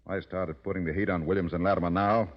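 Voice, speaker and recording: Casting: male actor as tough racketeer boss, low gruff voice, scornful, 1940s radio crime drama, muffled old broadcast. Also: steady tone, quick pace, close to an everyday voice. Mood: scornful, impatient. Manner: tough, dismissive gangster talk. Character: gruff, irritable male nightclub owner and racketeer.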